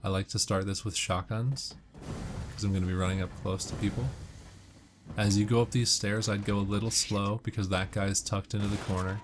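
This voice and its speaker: A man's voice: fairly low pitch, level tone, a little fast, background noise. A man giving casual, instructional game commentary, walking through tips step by step. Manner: explanatory, instructional. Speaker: gamer giving walkthrough tips. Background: game music and gunfire.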